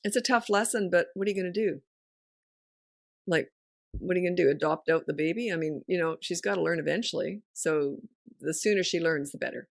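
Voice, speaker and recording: A woman's voice: on the low side, fairly quick, vocal fry, dry recording, studio quality. A middle-aged woman, a parenting coach hosting a podcast, giving frank advice in a blunt, no-nonsense way. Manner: blunt, no-nonsense, laughs often. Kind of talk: casual advice chat.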